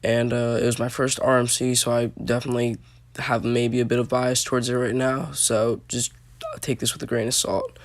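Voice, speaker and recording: A hoarse young man giving casual voice-over commentary as a roller coaster reviewer, rambling with many fillers. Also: mid-range voice, level tone, moderate pace. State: hoarse from allergies.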